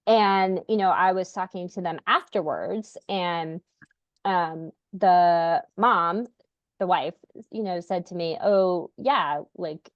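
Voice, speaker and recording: A woman's voice: lowish voice, slow pace, no room sound, lo-fi sound. A woman hosting a podcast, talking in an earnest, rambling way with many fillers. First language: English. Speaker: podcast host, parent. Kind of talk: casual podcast conversation.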